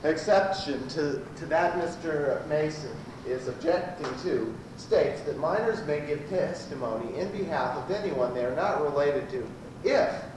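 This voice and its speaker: A man's voice: a little high, animated, measured pace. Echoey blustering courtroom prosecutor played by a man. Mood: officious. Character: blustering male prosecuting lawyer in a sci-fi courtroom spoof. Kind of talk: amateur old-time radio comedy acting. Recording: echoey distant room, noisy amateur tape.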